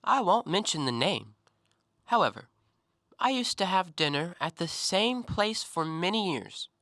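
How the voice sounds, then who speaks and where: A man acting an animated, needling intellectual. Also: sits high, vocal fry, no echo, studio quality, full character voice. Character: probing, self-assured male intellectual, talkative and needling. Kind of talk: stage-style audio play monologue.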